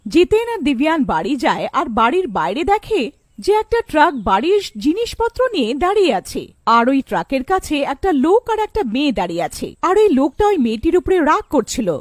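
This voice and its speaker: A woman narrates a scripted children's story. Her voice is high with very lively intonation. The recording is dry, without room echo. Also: fairly quick.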